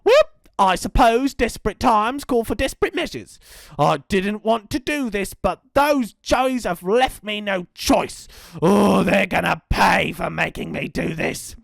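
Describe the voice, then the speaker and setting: A woman voicing a big bad male dingo, loud and theatrical, greedy and scheming. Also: animated, measured pace, creaky, no echo, studio quality, full character voice. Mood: plotting. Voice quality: loud, theatrical, sliding high when faking a sweet voice.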